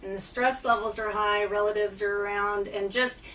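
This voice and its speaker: A woman's voice: mid-range voice, fairly even intonation, normal speed, fairly bright tone, recorded from a distance, poor audio. A woman fitness trainer speaking as a radio guest, earnest and chatty with many fillers, still getting over a lost voice. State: recovering from lost voice. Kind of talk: casual radio talk. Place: radio studio.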